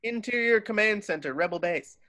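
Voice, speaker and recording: A man's voice: sits high, lively intonation, brisk, pushed and bright, dry recording. A man narrating screenplay stage directions from a script at a table read.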